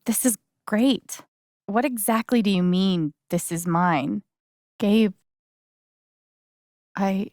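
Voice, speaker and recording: A warm, slightly awkward young woman from a narrative video game. She talks slowly and casually, with natural hesitations and a sarcastic, emotional streak. Her mid-pitched voice is recorded very dry. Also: no room sound. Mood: teasing surprise, then moved, grateful. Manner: casual, halting, conversational.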